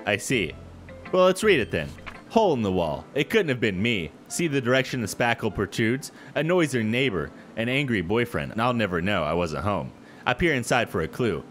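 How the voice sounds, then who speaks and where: Lively low-pitched man reading a visual novel. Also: moderate pace. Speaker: gaming video host. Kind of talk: reading visual novel aloud with casual commentary. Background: soft background music.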